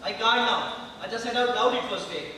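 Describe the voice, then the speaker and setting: A man acting in an amateur stage play as an earnest teenage friend, speaking haltingly and deliberately with pauses. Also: very high-pitched, pushed and bright, slight background noise. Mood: defensive. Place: large indoor theater hall stage. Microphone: distant camera or room microphone. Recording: echoey hall from far off.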